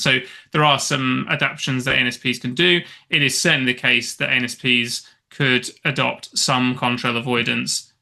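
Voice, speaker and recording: A man speaking as an expert guest, explaining off the cuff and often restarting his phrases haltingly. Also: medium pitch, creaky, bright, forceful tone. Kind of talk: unscripted explanatory interview answer.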